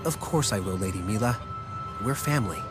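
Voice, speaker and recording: Guy doing a stiff proud young game devotee, kinda low voice, dramatic, loud music. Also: animated, brisk, natural voice. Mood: devoted. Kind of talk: video game voice acting. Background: loud background music.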